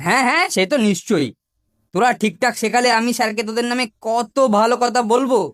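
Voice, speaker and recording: Sly older schoolboy woman's performance, fairly high pitch, boastful, wheedling, bossy, cartoon voice. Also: fast, dry recording, clean studio sound, natural voice. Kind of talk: cartoon voice acting.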